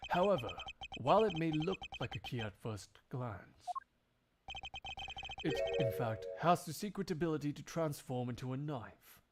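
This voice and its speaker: A man's voice: a little high, lively intonation, loud music behind the voice, lightly acted. Man acting a composed, shrewd young prosecutor. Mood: confident, pressing. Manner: formal, deliberate. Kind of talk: video game fandub acting.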